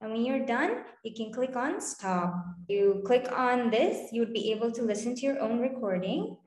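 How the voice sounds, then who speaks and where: A cheerful young woman with a fairly high voice hosts a children's online session. She is encouraging as she explains, and the video call sounds echoey, as from a bare room. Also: lively intonation, normal speed, a little mellow. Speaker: host of children's online storytime. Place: indoor room with bare wall. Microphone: video call, computer microphone. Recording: roomy, echoing video call.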